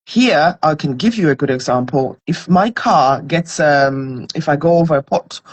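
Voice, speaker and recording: A woman's voice: low voice, moderate pace. A woman from Zimbabwe, a guest in a conversational interview, explaining earnestly with everyday examples.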